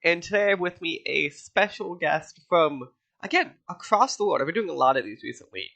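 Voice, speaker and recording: A man's voice: fairly high pitch, big pitch swings, brisk, gravelly, sharp tone. A man hosting a game interview podcast, sounding nasal from a cold and joking casually with his guests. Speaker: podcast host. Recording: dull, muffled audio.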